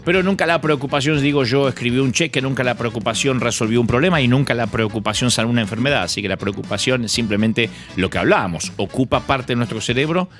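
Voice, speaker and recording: A man's voice: medium pitch, quick pace, few pauses, soft background music. A middle-aged Argentine man hosting a radio show, chatting casually and telling stories with an easy laugh. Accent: Argentine Spanish. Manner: animated storytelling, laughs easily. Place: radio studio. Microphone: studio mic on a stand.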